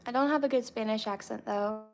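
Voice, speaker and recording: A young woman chatting casually with her viewers, relaxed and reading comments aloud. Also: a little high, fairly quick, a little hiss or hum. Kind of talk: casual chat with live chat.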